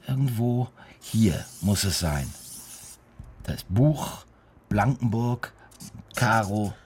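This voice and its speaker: Radio crime drama: insistent detective, clipped and focused, animated intonation at a middle pitch. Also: slow pace, husky, full character voice. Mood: focused, pressing. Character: focused, pressing police detective on a missing-person case.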